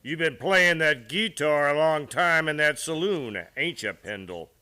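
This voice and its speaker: A man's voice: fairly high pitch, animated, measured pace, bright, forceful tone, smooth. A man playing a sly, menacing cowboy bully in a Western radio play, folksy and drawling.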